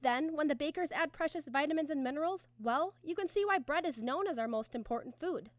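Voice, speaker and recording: A woman's voice: high voice, expressive, a little fast, dry recording. A woman announcer reading a scripted 1950s-style radio advertisement with an earnest, emphatic sales delivery.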